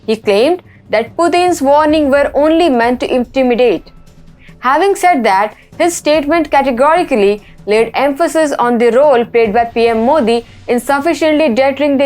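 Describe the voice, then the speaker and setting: A woman with an Indian accent presenting scripted news reports in a formal manner. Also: high voice. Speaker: news host.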